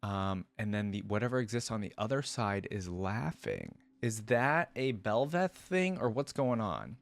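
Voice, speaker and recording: A man reading a story aloud and breaking into casual, expressive, slangy commentary as a reaction host. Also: on the low side, measured pace, vocal fry.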